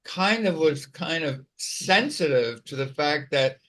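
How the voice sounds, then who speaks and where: A man's voice: on the high side, animated, slow, a bit bright. A man with a mild stutter on a video call, explaining things in a casual discussion, often repeating words. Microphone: online video call.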